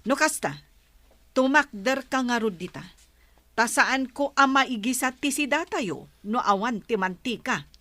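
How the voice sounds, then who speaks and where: A woman's voice: on the high side, lively intonation, measured pace, sharp tone, dry recording. A woman playing a weary, patient village mother who pleads with and admonishes her children, in an Ilocano-accented radio drama. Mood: scolding. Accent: Ilocano, northern Philippines.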